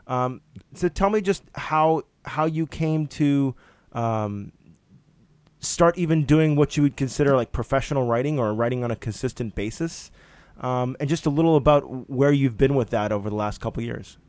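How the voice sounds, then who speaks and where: A man's voice: medium pitch, moderate pace, a little mellow, no room sound. A man hosting a podcast, introducing his guest and asking questions in a friendly, chatty way. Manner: friendly, chatty, laughs along. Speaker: podcast host. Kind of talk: casual interview hosting.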